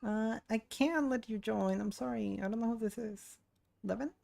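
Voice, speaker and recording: A woman's voice: fairly high pitch, normal speed, vocal fry, warm tone. A woman chatting casually as she live-streams a video game. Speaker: streamer, VTuber. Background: game music.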